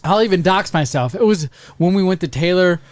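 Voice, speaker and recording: A man in his thirties with an American accent, a podcast host telling a profane, animated story in casual chat. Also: high voice, a little fast, no room sound. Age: 30s. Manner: profane, animated storyteller who acts out quoted voices.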